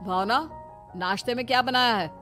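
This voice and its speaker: A woman's voice: a little high, moderate pace, sharp tone. Lady as young son in a family cartoon, earnest plain talk, bit of music behind. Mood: casually provoking. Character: young married son, puzzled and conspiring with father. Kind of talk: cartoon moral story dialogue.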